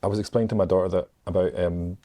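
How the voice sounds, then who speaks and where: A man's voice: low-pitched, steady tone, racing, warm tone. A man, co-hosting a casual chat and talking in a chatty, self-deprecating way that often trails off mid-sentence. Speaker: co-host.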